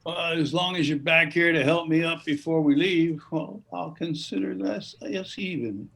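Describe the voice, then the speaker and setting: Man playing a creaky, kindly older man. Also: fairly high pitch, normal speed, fairly bright tone. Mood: good-natured.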